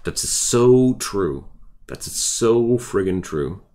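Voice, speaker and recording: A man's voice: on the low side, lively intonation, extremely slow, warm tone. A man who is not a native English speaker, chatting casually and rambling with viewers while streaming a game. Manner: rambling and chatty, restarts thoughts.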